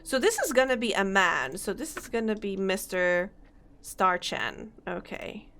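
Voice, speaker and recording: A young woman streaming a game, chatting casually, reading on-screen text aloud and laughing often, her voice slightly hoarse. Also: medium pitch, expressive, measured pace, fairly bright tone. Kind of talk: casual let's-play commentary.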